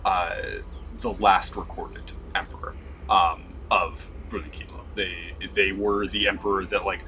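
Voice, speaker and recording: A man running a tabletop game as game master, describing scenes slowly with many fillers. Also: low-pitched, level tone, sharp tone, soft background music, poor audio.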